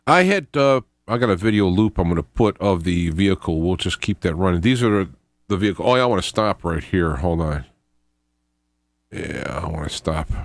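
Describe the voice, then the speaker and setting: A man giving informal, rambling video commentary, often halting and correcting himself as an independent show host. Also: deep voice, dry recording, clean studio sound. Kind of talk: informal video commentary on his own investigation.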